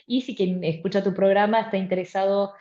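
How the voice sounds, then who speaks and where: A woman's voice: medium pitch, steady tone, a little fast, mellow, muffled recording. A middle-aged woman from Argentina, explaining her work articulately in an interview and repeating phrases for emphasis. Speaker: interviewed bioethicist and law professor.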